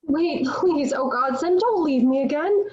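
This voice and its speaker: A woman's voice: sits high, animated, measured pace, darkish tone. A woman playing a devout young warrior maid in a drama read, earnest and vulnerable, over a lo-fi online call. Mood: pleading. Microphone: video call.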